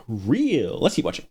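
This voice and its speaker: Casual male streamer with a lively pitch. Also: husky. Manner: casual, thinks aloud, repeats lines back. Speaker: live streamer. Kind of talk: live reaction commentary.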